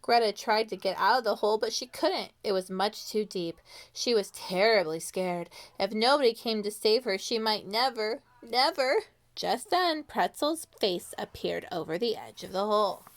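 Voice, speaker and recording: A woman reading a picture book aloud to young children in an expressive way, with dramatic pauses and questions to her listeners. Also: mid-range voice. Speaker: children's storytime reader.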